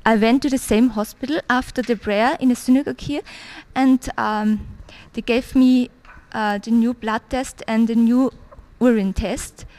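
A woman in her thirties from Austria, a native German speaker with an Austrian German accent, giving a hesitant testimony in English with many pauses and fillers. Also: fairly high pitch, steady tone, darkish tone. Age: 30s. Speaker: church visitor giving testimony. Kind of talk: interview testimony.

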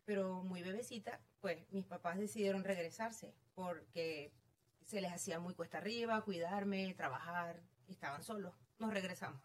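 A woman's voice: fairly low pitch, moderate pace. A middle-aged woman with a Venezuelan accent, telling her story casually as an interview guest. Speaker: interview guest, real estate agent.

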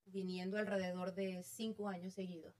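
A woman's voice: lowish voice, normal speed. Venezuelan woman telling her story. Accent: Venezuelan Spanish. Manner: storytelling, animated, self-amused. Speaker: real estate agent guest. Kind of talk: casual interview chat.

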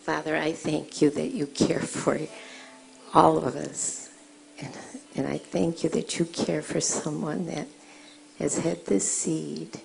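Deep, gravelly soft-spoken woman. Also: rough recording. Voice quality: low voice. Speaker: congregation member. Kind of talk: prophetic word and prayer.

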